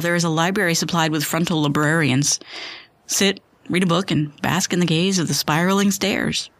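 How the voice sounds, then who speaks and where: Casting: woman as wry, distrustful narrator of surreal fiction podcast, slightly low register, literary delivery, dry studio sound. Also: no echo, lightly acted. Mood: wry, playful. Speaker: storyteller and host of a fiction podcast. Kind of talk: scripted dramatic first-person narration.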